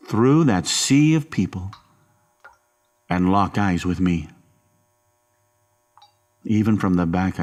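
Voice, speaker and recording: A man's voice: sits low, darkish tone. An actor playing a menacing, controlling middle-aged father in an audio horror drama, with a folksy, coaxing, domineering manner.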